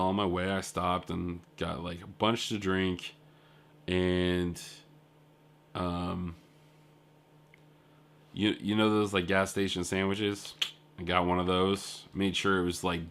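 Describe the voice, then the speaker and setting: Young male indie dev, lowish voice, loose rambling video-diary storytelling. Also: a bit bright. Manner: rambling storytelling with filler words and asides. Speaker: indie game developer. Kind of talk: casual video diary recap.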